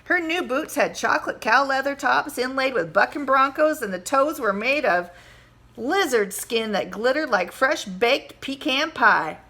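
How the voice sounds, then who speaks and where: Female storybook reader, teacherly and expressive, higher-pitched with a very bright tone. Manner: animated, teacherly, pauses to question listeners. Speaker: primary school teacher reading aloud. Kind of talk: expressive children's storybook read-aloud.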